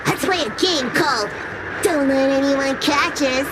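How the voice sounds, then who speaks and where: A woman's voice: sits high, a little slow, noisy background. A woman voicing a mischievous, childlike spirit in an anime-style game, teasing, bratty and possessive of its friend. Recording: game music behind the voice.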